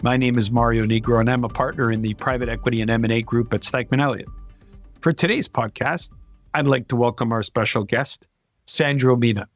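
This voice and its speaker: A man's voice: mid-range voice, fairly even intonation, a little fast, faint music behind. A man hosting a business podcast, opening the show with a formal, welcoming introduction of his guest. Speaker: podcast host, law firm partner.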